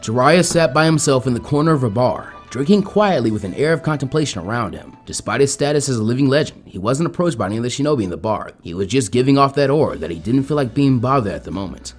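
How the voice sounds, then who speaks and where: A man's voice: mid-range voice, lively intonation, fast, few pauses, faint music behind. A man reading a scripted story aloud as a fan fiction narrator.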